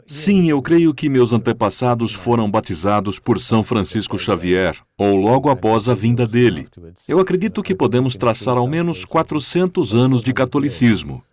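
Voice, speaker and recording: A man with a Brazilian Portuguese accent voicing an interviewee's answers in a dubbed interview, speaking in a measured, reflective way. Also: medium pitch, big pitch swings, a little mellow. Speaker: interviewee voice-over.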